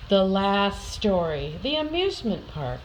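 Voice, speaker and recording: An older woman reading a children's picture book aloud with deliberate, dramatic emphasis for young listeners. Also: medium pitch, very animated, slight background noise, low-quality recording. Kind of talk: expressive children's story read-aloud.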